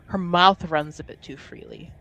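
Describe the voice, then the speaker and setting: A woman's voice: lowish voice, steady tone, normal speed, fairly bright tone. A woman player speaking casually in character in a tabletop roleplaying game, teasing and wry.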